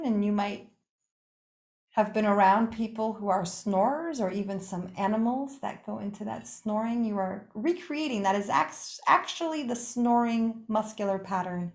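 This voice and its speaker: A woman's mid-pitched voice carries noticeable room echo. She guides a meditation as a yoga teacher would, unhurried and gently inviting. Her short phrases are set apart by pauses. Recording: echoey, roomy sound.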